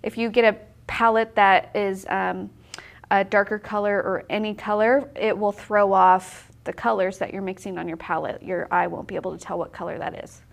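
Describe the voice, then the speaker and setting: A woman's voice: mid-range voice, fairly even intonation, normal speed, gravelly. Brief: woman in her 30s, cheerful, talkative painting teacher, at a table in an indoor studio. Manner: upbeat, chatty, explains step by step. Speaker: watercolor instructor. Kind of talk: casual on-camera painting tutorial.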